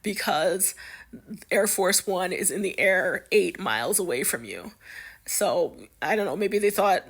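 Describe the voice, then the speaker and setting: Woman, medium pitch, casual news commentary, amused, conversational, thinking out loud. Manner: amused, conversational, musing aloud.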